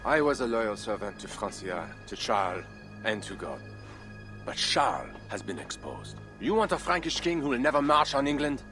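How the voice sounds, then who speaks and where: A man's voice: medium pitch, very animated, moderate pace, bright, forceful tone, faint music behind. A man playing a proud, ambitious Frankish count, formal and solemn, in a dramatic video game. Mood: ambitious, resolute.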